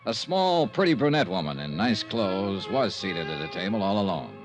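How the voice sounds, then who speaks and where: A world-weary private investigator tells his case like a report, clipped and matter-of-fact. It is old-time radio detective drama, with music clearly behind the voice. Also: deep voice, big pitch swings, moderate pace. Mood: businesslike, dry. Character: brisk, dry, world-weary male insurance investigator. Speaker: insurance investigator and narrator. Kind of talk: 1950s radio detective acting and narration.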